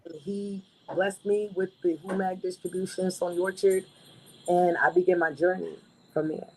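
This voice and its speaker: A woman artist with a raspy voice, answering interview questions in a confident, emphatic way. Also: lowish voice, little pitch movement, moderate pace, a little hiss or hum, poor audio. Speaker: guest artist.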